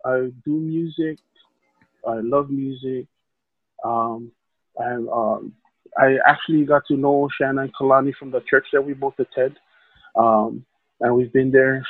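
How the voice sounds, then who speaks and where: A man's voice: medium pitch, slightly rough, no room sound. Man on a tinny call line. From Hawaii. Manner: rambling, many fillers and restarts. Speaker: podcast guest. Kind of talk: casual storytelling.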